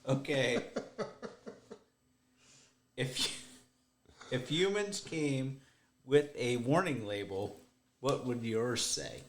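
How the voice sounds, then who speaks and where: A man's voice: medium pitch, lively intonation, drawn-out words, long pauses. A man in a casual podcast chat, telling stories and cracking dry jokes.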